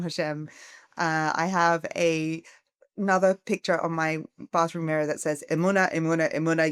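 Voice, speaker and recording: A middle-aged woman from England, a charity founder giving a podcast interview, earnest and growing animated as she asks for help. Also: deep voice, clean tone, no room sound, studio quality. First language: English.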